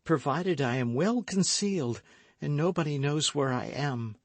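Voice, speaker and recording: A man's voice: a little high, lively intonation, unhurried, no echo, voice pushed up. A man voicing a frightened, lovestruck young maidservant in an audiobook, with a pleading, devoted manner. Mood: devoted, wistful. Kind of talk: audiobook character acting.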